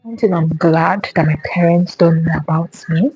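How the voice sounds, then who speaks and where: A woman's voice: fairly low pitch, moderate pace, warm tone, breathy edge, no room sound, highs cut off, faint music behind. A young woman vlogger chatting casually to camera, answering questions and repeating herself with lots of fillers.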